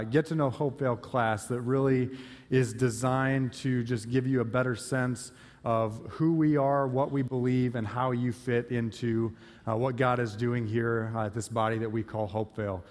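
A man, an outreach pastor, giving casual church announcements with frequent pauses and fillers. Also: medium pitch, steady tone, a little mellow. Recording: long reverb of a church hall.

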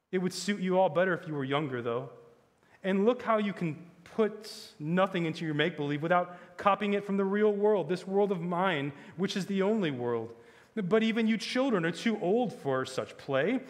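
A man voicing a cunning witch queen in a children's fantasy audiobook, soft and cooing, with slow, silky, condescending courtesy. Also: fairly high pitch, long reverb tail, pitched above the performer's own voice, natural voice.

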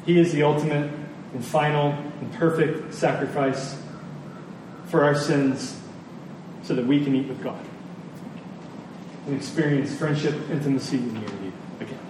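A man preaching a sermon to a congregation in an earnest, deliberate manner, repeating phrases for emphasis. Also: medium pitch, steady tone. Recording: very echoey room, distant mic, faint noise.

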